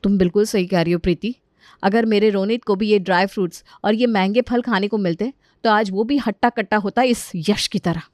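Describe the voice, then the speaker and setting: A woman's voice: medium pitch, fairly even intonation, fairly quick, a little mellow, dry recording, clean studio sound, close to an everyday voice. A woman playing a young daughter-in-law in a family story, indignant and firm. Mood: resentful.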